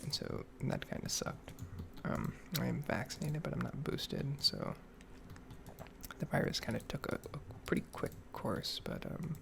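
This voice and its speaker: A man's voice: mid-range voice, lively intonation, measured pace. Guy whispering a rambly asmr gaming update, super crackly voice, bit stuffed up, faint noise and key clicks behind. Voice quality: hushed, breathy, mostly whispered. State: slightly nasal while getting over a cold-like illness. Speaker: gaming ASMR video creator.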